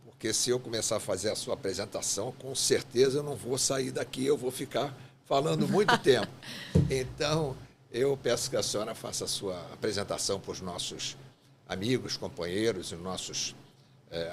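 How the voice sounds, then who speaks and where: A man's voice: on the low side, moderate pace, a little hiss or hum. A man hosting a podcast interview, introducing his guest in long, rambling sentences with hesitations. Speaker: podcast host, association president.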